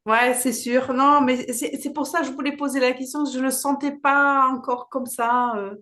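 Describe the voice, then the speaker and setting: A woman with a slightly raised pitch who grew up speaking German talks tentatively, stumbling over the first words before she settles into her question. Her tone stays polite and easy. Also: fairly even intonation, moderate pace, bright, forceful tone. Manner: hesitant, repeats words when starting. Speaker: coaching client.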